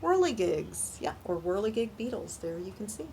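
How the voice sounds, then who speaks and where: A woman reading a picture book aloud to young children in an animated storytime style, pausing to ask questions and explain things simply. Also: mid-range voice, slight background noise. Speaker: children's librarian and storytime reader.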